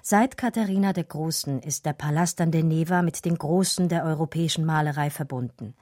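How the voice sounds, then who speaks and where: Radio drama heroine, husky, mellow, lowish voice, wistful, intense, intimate close dry sound. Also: female voice, fairly quick, no echo. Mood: wistful, reflective. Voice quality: breathy, husky, often sinking to a whisper. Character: distraught art historian recalling a lost lover, wistful and urgent. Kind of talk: radio drama dialogue and intimate first-person narration.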